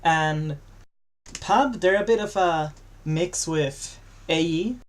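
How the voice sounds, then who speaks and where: A man commentating a live online game match, relaxed and joking as he explains the format. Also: a little high, slow. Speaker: esports commentator.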